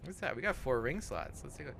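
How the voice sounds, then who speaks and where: A man's voice: mid-range voice, very animated, quick pace, gravelly, fairly bright tone, a little hiss or hum. A man giving casual, rambling let's play commentary as he plays a video game, swearing freely. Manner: rambling, profane. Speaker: host playing a video game.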